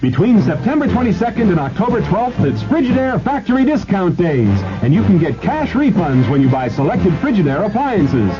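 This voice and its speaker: A man announcing a 1970s radio commercial with an upbeat sales pitch. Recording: dull 1970s radio broadcast.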